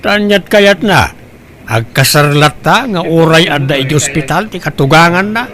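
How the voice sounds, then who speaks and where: A man's voice: a little high, expressive, normal speed, a bit bright. Man playing a blunt, grumbling older father. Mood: blunt, resigned. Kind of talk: Ilocano comedy radio-style drama acting.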